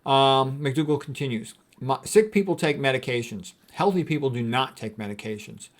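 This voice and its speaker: A man's voice: medium pitch, normal speed. A man giving an informal health talk as a presenter, explaining and commenting on quotes in a plain-spoken, colloquial way. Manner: plain-spoken, colloquial, often adding "okay" and "you know". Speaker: physician presenter of health talks.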